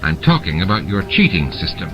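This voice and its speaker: A man's voice: low-pitched, big pitch swings, a little fast, sharp tone, muffled recording, voice pushed down, full character voice. A man voicing a grim, suspicious alien station constable, stern and dry, in a dramatized audiobook. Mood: grim, firm. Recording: music and sound effects behind the voice.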